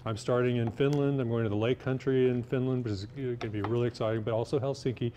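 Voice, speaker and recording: Mellow-voiced man demonstrating a recipe. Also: mid-range voice, steady tone, fast talker, slight background noise. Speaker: guest cookbook author and cook. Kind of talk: casual cooking demonstration. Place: TV kitchen segment.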